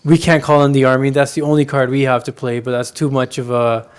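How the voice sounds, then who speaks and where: A man's voice: mid-range voice, flat delivery, brisk, smooth. A man co-hosting a podcast, talking politics casually and emphatically with plenty of slang. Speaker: podcast co-host.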